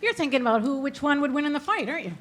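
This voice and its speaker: A voice actor playing a sharp-tongued, clever woman who teases dryly. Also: high-pitched, lively intonation, a little hiss or hum. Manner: dry, teasing and quick with insults. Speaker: voice actor at a table read.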